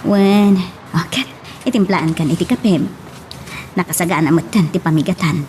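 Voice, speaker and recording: A woman's voice: on the low side, moderate pace, a little mellow, a little hiss or hum, natural voice. A woman playing a doting older woman in love with a younger man, tender and coquettish, in a radio romance drama. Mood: playful, affectionate. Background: music.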